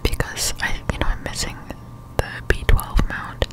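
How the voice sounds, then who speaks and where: A woman whispering casual ASMR game commentary close to the microphone while chewing gum. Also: moderate pace. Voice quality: unvoiced whisper. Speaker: streamer playing a video game. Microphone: close-up desktop studio microphone.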